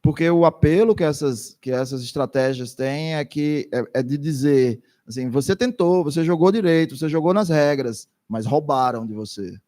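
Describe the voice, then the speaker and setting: A man's voice: a little high, normal speed, hardly any pauses, dark tone. A man doing consecutive interpretation for a lecture, speaking hesitantly with frequent restarts.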